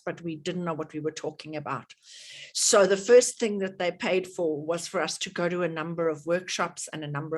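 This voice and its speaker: A middle-aged woman with a South African accent hosting an online workshop over a video call, chatty, with many fillers and restarts. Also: on the low side. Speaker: workshop host.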